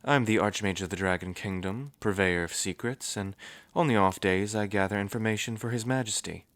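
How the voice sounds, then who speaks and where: A man voicing a wry, low dragon archmage. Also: brisk, a bit bright, no echo. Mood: introducing. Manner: wry, self-deprecating, easygoing. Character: wry, gentle male dragon archmage and royal advisor.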